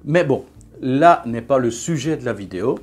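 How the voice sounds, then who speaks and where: A man's voice: mid-range voice, lively intonation, normal speed, a little mellow. A man, talking casually to camera in a vlog, hesitant, with many fillers and restarts. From Belgium. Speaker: vlogger.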